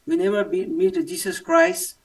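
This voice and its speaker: A man from Nepal with a Nepali accent, giving a personal testimony in halting English with many fillers. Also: high voice, sing-song, distant mic. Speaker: church member giving testimony.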